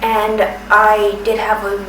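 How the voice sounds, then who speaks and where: A woman in her thirties who stutters, speaking slowly with pauses and restarts in a personal testimony. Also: medium pitch, monotone, a bit bright. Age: 30s. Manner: stutters, with repeated sounds and restarts; slow, careful, with pauses. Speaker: documentary submitter. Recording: roomy, distant from the mic, noisy background.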